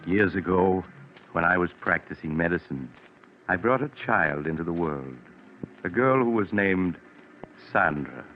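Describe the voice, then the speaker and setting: An actor playing an ominous, confiding storyteller narrating a 1940s radio mystery, on an old muffled recording with music. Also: male voice, low-pitched, animated, measured pace, noisy background. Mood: grave storytelling. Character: sinister male mystery host turned retired doctor storyteller.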